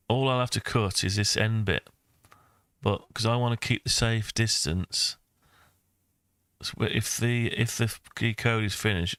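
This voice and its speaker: An older man with a low, smooth voice talks through a screen-recorded tutorial in a casual way. His delivery stays very flat as he rambles and thinks aloud. The sound is close and dry, as from a desk microphone. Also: no echo. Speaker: maker and video host.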